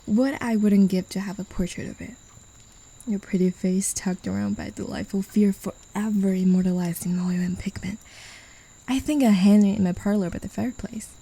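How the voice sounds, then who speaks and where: A woman voicing a mocking, gravelly demon. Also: medium pitch, steady tone, moderate pace, warm tone, rough recording. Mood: domineering. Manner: condescending, teasing, often whispering. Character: possessive, mocking female demon claiming a human. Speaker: voice actor. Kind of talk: ASMR roleplay.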